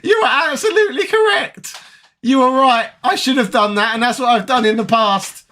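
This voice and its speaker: Raspy-voiced British game streamer. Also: male voice, very high-pitched, moderate pace, sharp tone. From Britain. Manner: chatty, reads viewer comments aloud, laughs often. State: raspy, tired voice. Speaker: retro gaming streamer. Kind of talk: casual live-stream chat.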